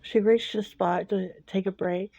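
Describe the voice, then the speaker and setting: A woman narrating a scripted horror story in a slow, hushed, suspenseful manner with long deliberate pauses. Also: medium pitch, fairly even intonation, breathy edge, no room sound. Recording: dull lo-fi, music bed behind. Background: background music.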